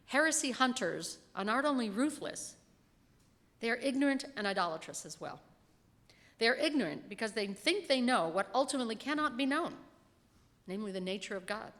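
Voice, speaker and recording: Middle-aged female sermon reader, slightly high voice, measured and emphatic delivery, reverberant church acoustic. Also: fairly bright tone. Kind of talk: sermon read aloud from a script. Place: church pulpit in a large reverberant room. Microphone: gooseneck lectern microphone. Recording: reverberant hall sound.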